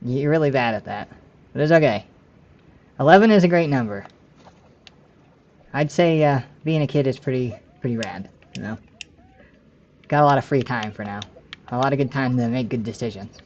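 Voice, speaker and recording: A man in his late twenties talks with his stream viewers in a loose, rambling way, often stopping to correct himself. His voice sits at an ordinary middle pitch. The audio is slightly dull and lo-fi. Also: expressive, normal speed, pauses often, darkish tone. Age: 20s. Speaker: game streamer. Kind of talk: casual live-stream chat with viewers.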